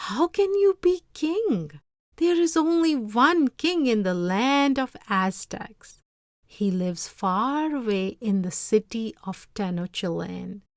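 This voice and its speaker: A woman's voice: a little high, lively intonation, takes it slowly, no room sound, studio quality, pitched above the performer's own voice. A woman voicing a humble, henpecked fisherman in a storybook, earnest and plain-spoken. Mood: disbelieving. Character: humble, simple, henpecked fisherman, adult man. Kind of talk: read-aloud storybook character voice.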